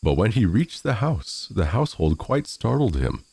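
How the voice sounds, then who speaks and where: A man reading a storybook fairy tale in a measured, expressive read-aloud manner. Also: on the low side, creaky, slightly rough. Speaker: storyteller, narrator of fairy tales. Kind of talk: scripted storybook narration.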